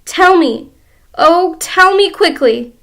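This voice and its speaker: A woman voicing a gullible, frightened old woman who is shrill and panicky. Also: big pitch swings, normal speed, pushed and bright, exaggerated voice.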